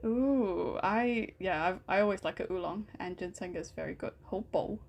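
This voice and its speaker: A woman's voice: fairly low pitch, moderate pace, vocal fry. A young woman from Hong Kong hosting a casual podcast chat, leading the talk with friendly questions and plenty of fillers. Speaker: podcast host.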